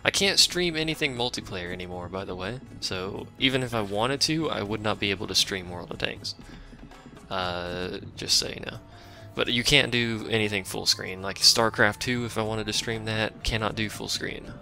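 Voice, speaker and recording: A man with a deep, gravelly voice and a bright edge, chatting loosely over a game he is playing. He rambles and shrugs things off, with self-mocking asides to his stream chat. Faint game music sits underneath. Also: normal speed. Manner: rambling, offhand, self-deprecating; chats with a live stream chat. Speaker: let's play streamer. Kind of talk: casual gameplay commentary.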